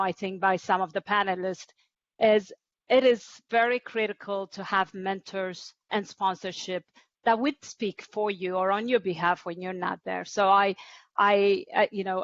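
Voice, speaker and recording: A woman from Ethiopia, speaking earnestly on a panel with frequent pauses. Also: mid-range voice, fairly bright tone, no echo. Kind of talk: panel discussion. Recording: dry, dull lo-fi audio.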